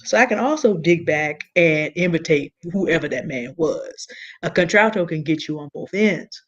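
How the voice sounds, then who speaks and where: A woman with a big, low contralto voice, talking through a point deliberately and earnestly as a panelist in an online discussion. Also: lively intonation, dull audio. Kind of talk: measured explanatory talk.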